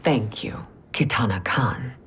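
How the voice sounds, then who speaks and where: A woman's voice: extremely low pitch, takes it slowly, slight background noise. An actress playing a proud, ruthless warrior empress and scheming mother in a video game, speaking in a regal, formal manner. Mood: formal, gracious. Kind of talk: video game cutscene acting.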